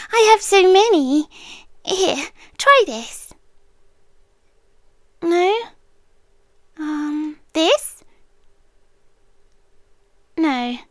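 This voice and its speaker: A British woman voicing a possessive, doting monster girlfriend in an ASMR roleplay, soft and breathy, often slipping into intimate, coaxing whispers. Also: high-pitched, no room sound, clean studio sound, heavily acted. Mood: indulgent, possessive. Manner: slow, cooing, intimate, coaxing. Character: possessive, doting female monster yandere girlfriend hoarding treasures.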